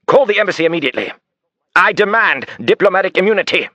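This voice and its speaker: A man's voice: medium pitch, big pitch swings, a little fast, fairly bright tone, dry recording, voice pushed up. A man voicing an arrogant British diplomat with a clipped English accent, indignant and demanding. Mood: outraged shouting.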